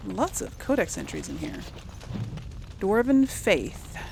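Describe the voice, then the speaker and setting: Female let's-play host, medium pitch, slow casual delivery, thinking aloud hesitantly, slight noise behind. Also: huge pitch swings, vocal fry, a little mellow. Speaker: gaming video host. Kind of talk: casual game commentary, talking to the audience.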